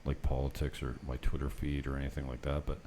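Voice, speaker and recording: A man's voice: extremely low pitch, little pitch movement, fast, dark tone. A man hosting a casual, unscripted podcast from an apartment, rambling through anecdotes in an unfiltered way with some mild swearing. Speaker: talk show host. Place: apartment set up for recording. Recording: noticeable background noise.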